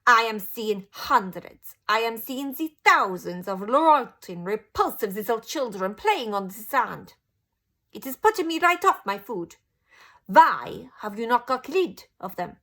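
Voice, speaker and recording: Witch queen, storybook tyrant, high and shrill, loud and slow, shrieking, gravelly edge. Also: animated, full character voice. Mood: screaming. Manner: shrieking, drawn-out slow tirades. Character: cruel bald female witch leader, furious tyrant.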